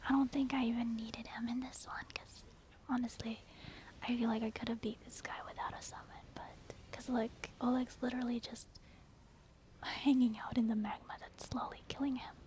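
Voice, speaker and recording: A woman whispering soft-spoken ASMR commentary over a video game as the host. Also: fairly high pitch, level tone, moderate pace.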